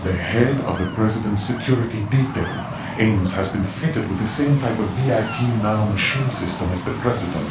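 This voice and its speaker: An actor playing a mysterious, cold male informant in a video game, measured, cryptic and condescending. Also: lowish voice, heavy background noise, natural voice. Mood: cool, withholding. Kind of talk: dramatic video game voice acting. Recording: echoing space, loud music behind.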